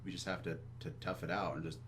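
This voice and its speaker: A man chatting casually as a podcast co-host, halting and repeating himself, with some swearing. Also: sits low, flat delivery, a bit bright. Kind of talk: casual chat. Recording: clearly audible hum and noise.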